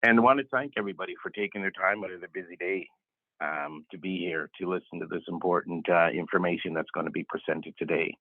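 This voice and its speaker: A man with a voice raspy from a cold, giving halting welcome remarks as a health director. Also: low-pitched, no echo. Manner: halting, many fillers. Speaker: health director and board member. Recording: tinny phone line.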